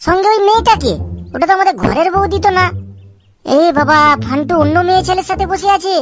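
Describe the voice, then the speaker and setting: Woman doing nosy young cartoon snitch, high voice, quick n indignant, scolding. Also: a crowd in the background. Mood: surprised, nosy. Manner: scolding, threatening. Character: nosy, indignant young tattletale snooping on lovers. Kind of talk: comic amateur cartoon dialogue.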